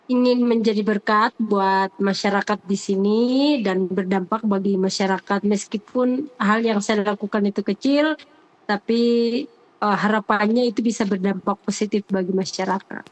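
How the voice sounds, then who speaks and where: A woman's voice: mid-range voice, steady tone, normal speed, rough recording. A woman, a teacher answering interview questions off the cuff, earnest and hesitant, often repeating her phrases.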